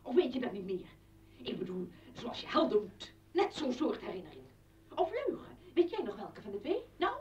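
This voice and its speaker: A woman's voice: a little high, sing-song, normal speed, dark tone, far from the mic. An actress playing a weary, reproachful wife and mother in a radio drama, exasperated and pointed in manner. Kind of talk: Dutch radio drama acting. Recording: echoey, muffled, noisy old radio recording.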